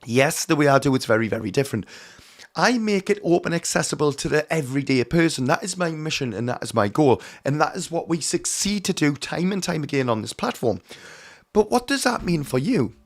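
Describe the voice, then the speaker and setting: A hoarse man giving an earnest, emphatic teaching talk on mediumship, often calling his viewers his friends. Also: on the high side, very animated, brisk. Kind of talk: direct-to-camera teaching talk.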